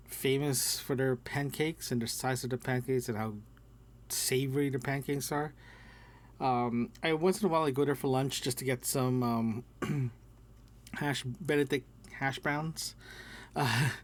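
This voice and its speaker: A middle-aged man with a gravelly voice recording a casual solo audio log, haltingly thinking aloud and repeating words. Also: mid-range voice. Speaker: podcast host. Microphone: small handheld recorder.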